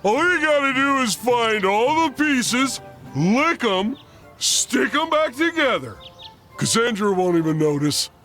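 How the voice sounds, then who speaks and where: A man's voice: very high voice, very animated, a little slow, bright, forceful tone, soft background music, exaggerated voice. A man voicing a dim-witted, happy-go-lucky starfish sidekick in a cartoon game, with a thick, goofy voice and a childlike, oblivious manner. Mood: carefree, eager. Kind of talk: animated cartoon game voice acting.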